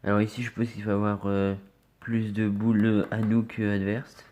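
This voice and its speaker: A man's voice: lowish voice, little pitch movement, normal speed, a little mellow, slight background noise. A man with a cold chatting casually to viewers as he scratches lottery tickets, often muttering and spelling out letters one at a time. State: has a cold. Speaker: scratch card vlogger.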